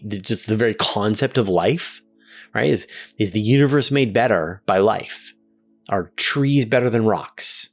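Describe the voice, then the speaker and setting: A man with a fairly low voice hosts a science question show. He explains in a conversational way, leaning on key words and pausing deliberately for effect. Music plays softly behind him. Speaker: science show host answering audience questions.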